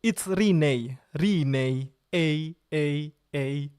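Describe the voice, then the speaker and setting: A man's voice: a little high, extremely slow, a little mellow, clear, resonant tone. Playful man with an Italian accent. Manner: playful, joking, repeats himself. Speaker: livestream host. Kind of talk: casual live-stream chat.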